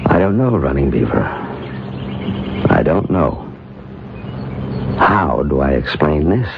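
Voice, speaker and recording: A troubled doctor with a deep voice and lively inflection. He is guarded and weary, in old-time radio drama style, on a clearly noisy recording. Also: takes it slowly, dull audio, heavily acted. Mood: baffled. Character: troubled, evasive male doctor guarding a secret.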